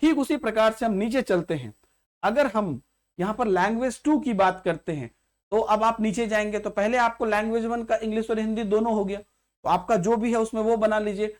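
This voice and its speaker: A man's voice: sits high, normal speed. A man, teaching as an exam prep tutor who walks through an exam paper step by step and repeats key points to check understanding.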